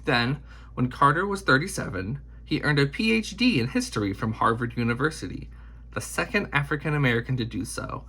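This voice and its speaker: A man reading a children's biography aloud in an expressive storytelling style, with measured, deliberate phrasing. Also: medium pitch, breathy edge.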